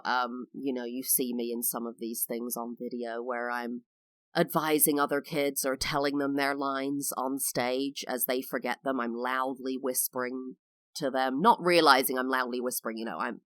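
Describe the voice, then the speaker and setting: Very low-voiced English woman hosting. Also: fairly bright tone, dry recording. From England. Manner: personal, explanatory, with frequent fillers. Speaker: podcast host. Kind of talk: solo podcast monologue.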